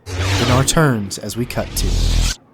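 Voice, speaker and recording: Low-voiced man narrating scene directions. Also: takes it slowly, music in the background. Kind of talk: scripted narration of screenplay directions. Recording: faint background noise and ambience.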